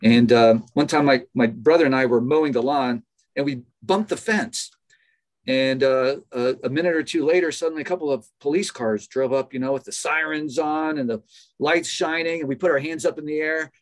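An American man in his fifties, a professor interviewed on a video call from a small office, telling casual anecdotes in a halting, often amused way. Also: medium pitch. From United States. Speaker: interview guest, biology professor. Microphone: computer microphone on a video call.

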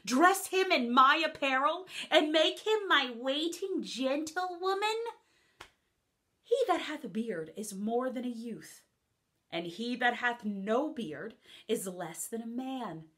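A woman's voice: sits high, lively intonation, slow, bright, forceful tone, full character voice. A woman playing a witty young woman in a classical comic monologue, who mocks the idea of marriage with teasing, playful wit. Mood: mocking.

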